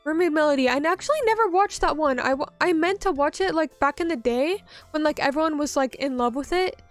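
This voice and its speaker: A woman with a high, light voice chats with her stream audience, drifting through her thoughts with plenty of "like" and "um." Quiet music plays faintly behind her. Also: expressive, a little fast. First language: English. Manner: rambling, many fillers like "like" and "um". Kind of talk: casual chat reading viewer messages.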